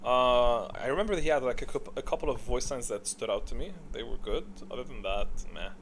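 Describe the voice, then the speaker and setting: A man's voice: medium pitch, fairly quick, a little hiss or hum. A man giving casual, opinionated ranking commentary, thinking aloud in an informal way. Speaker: gamer video creator.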